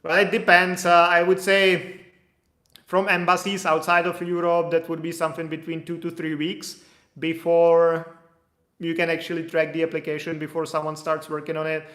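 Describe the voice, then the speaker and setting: A man's voice: a little high, completely flat delivery, normal speed, fairly bright tone. A man answering viewer questions on immigration during a live stream, in a casual, hedging manner. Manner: casual, hedging, reads questions aloud then explains. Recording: echoey room.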